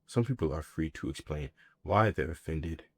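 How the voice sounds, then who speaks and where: A man with a low, deep voice performs a spoken-word monologue. He speaks deliberately and reflectively, setting out short, weighted phrases. The sound is dry, with almost no room around the voice. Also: animated, slightly rough, dry recording.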